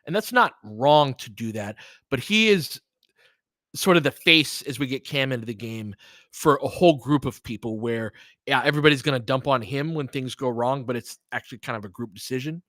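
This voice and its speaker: A man's voice: medium pitch, a bit bright, no room sound. Man, dry close-sounding casual commentary. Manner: conversational, rambling, candid. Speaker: host doing audio play-by-play. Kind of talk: casual chatty game commentary with stories and asides.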